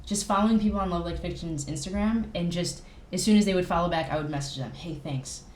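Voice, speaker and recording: A woman's voice: lowish voice, quick pace, darkish tone. A woman chatting casually as a band guest in a podcast interview. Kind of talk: casual chat. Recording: echoey room with faint background noise.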